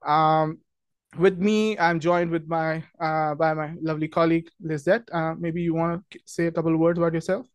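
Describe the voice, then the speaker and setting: A man's voice: on the high side, little pitch movement, normal speed, no room sound. A young man from Pakistan hosting a webinar in a friendly, chatty way, with lots of ums and restarts. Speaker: webinar host, product marketing manager. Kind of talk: casual webinar presentation.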